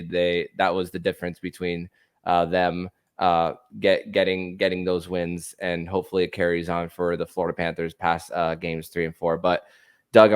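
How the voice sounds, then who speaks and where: A man hosting a sports podcast, asking questions and thanking his guest, halting with many fillers and restarts. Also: low-pitched, clean tone, no echo, clean studio sound. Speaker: podcast host. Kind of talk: casual sports podcast interview.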